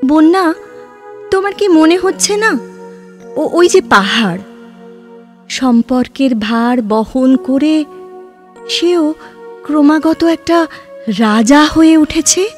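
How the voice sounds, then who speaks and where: A woman playing a girlish, searching, idealistic young woman in love in a literary audio drama, with halting, intense delivery. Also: high voice, animated, soft background music. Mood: searching, bewildered.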